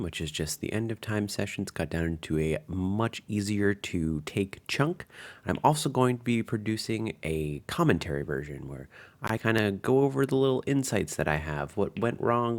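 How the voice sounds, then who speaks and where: A man speaking casually as host and game master of a tabletop roleplaying series. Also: on the low side. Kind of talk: casual intro and table chat.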